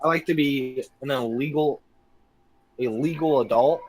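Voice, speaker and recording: A man's voice: mid-range voice, normal speed, darkish tone, no room sound, rough recording. A young man hosting a casual comedy podcast, rambling and joking at his own expense. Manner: goofy, rambling, self-deprecating jokes. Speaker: podcast host.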